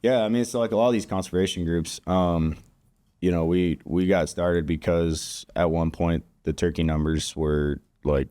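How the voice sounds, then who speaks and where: A man's voice: deep voice, fairly even intonation, normal speed, dark tone, no echo. A man from Kansas, a guest on a podcast, explaining things in an easygoing way with lots of fillers. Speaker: guest, conservation group regional director. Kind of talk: casual podcast chat. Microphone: studio podcast mic.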